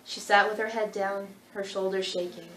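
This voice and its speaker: A woman's voice: mid-range voice, steady tone, normal speed, a bit bright. A woman reading scripted literary narration as the narrator of a dramatic story. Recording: roomy sound with faint background noise.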